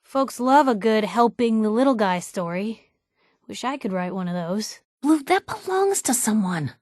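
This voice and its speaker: A woman's voice: on the high side, lively intonation, moderate pace, a little mellow, dry recording, clean studio sound, close to an everyday voice. An actress playing a plucky young woman newspaper reporter in a video game, with a wry, teasing, conversational way of talking. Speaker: companion character voice actor.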